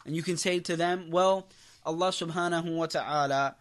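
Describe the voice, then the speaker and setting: A man's voice: fairly high pitch, fairly even intonation, moderate pace. A man teaching a religious class as an imam, lecturing with emphasis, repeating key phrases and putting rhetorical questions to his listeners. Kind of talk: impassioned religious lecture to a congregation.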